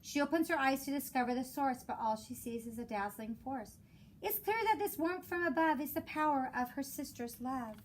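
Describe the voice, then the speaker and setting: Female teacher, high-pitched, expressive children's read-aloud, measured delivery, clear background noise. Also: rough recording. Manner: measured storytelling with rhymed cadence. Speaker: elementary school teacher.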